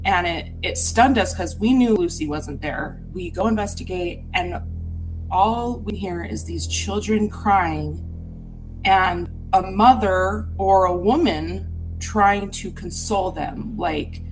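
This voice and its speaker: A woman's voice: medium pitch, slow, music in the background, low-quality recording. A woman from Utah telling a personal ghost story in an earnest, candid, reflective way.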